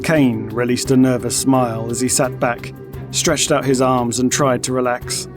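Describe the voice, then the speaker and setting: A man's voice: medium pitch, little pitch movement, normal speed, music in the background. A man reading scripted narration for an audio drama.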